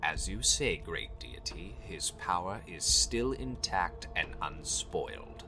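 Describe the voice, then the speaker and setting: A man playing a grave, formal high cleric and mentor in a dark fantasy visual novel, with measured delivery. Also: expressive. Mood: deferential. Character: male vampire high cleric, grave mentor, deferential servant. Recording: music behind the voice.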